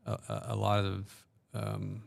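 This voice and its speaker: Very deep, gravelly male co-commentator. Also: monotone, slow. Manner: hesitant, many ums, describes play step by step. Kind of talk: casual play-by-play commentary.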